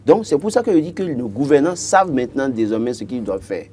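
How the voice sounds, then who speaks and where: A man's voice: medium pitch, big pitch swings, fast, warm tone, breathy edge. A man in his thirties from Benin with a Beninese French accent, arguing as a political commentator on a TV panel and often stuttering on repeated words.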